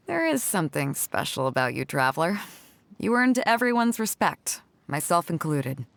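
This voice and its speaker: A bold, lively sea captain. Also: female voice, on the low side, normal speed, vocal fry, a little hiss or hum. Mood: wry, respectful. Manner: assured, commanding, colloquial. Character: confident, easygoing woman fleet captain, bold and hearty. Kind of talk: video game voice acting.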